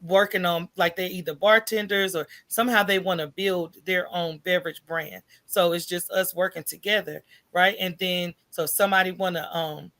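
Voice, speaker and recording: A woman's voice: on the low side, fairly even intonation, normal speed, hardly any pauses, a bit bright. An American woman speaking as a podcast guest in a casual, colloquial way, often checking in with the listener as she explains. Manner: informal, colloquial, checks in with "right?" and "you know". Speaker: podcast guest, coffee business owner. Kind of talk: casual conversational interview answers.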